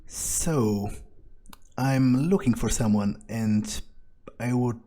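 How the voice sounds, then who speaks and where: A man doing in-character gameplay commentary, thinking aloud in a halting, understated way as he plays. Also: mid-range voice, mellow. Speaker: gameplay video creator.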